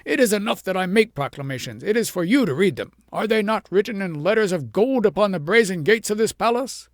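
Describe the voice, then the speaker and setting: A storybook reader voicing a pompous, tyrannical king who threatens loudly. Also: medium pitch, expressive, fairly quick, dry recording, clean studio sound, voice pushed up, close to an everyday voice.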